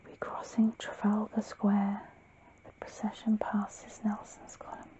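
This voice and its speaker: A woman whispering gently and soothingly in an ASMR roleplay, breathy and unhurried, chatting to the listener. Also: medium pitch, completely flat delivery, lo-fi sound. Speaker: ASMR host.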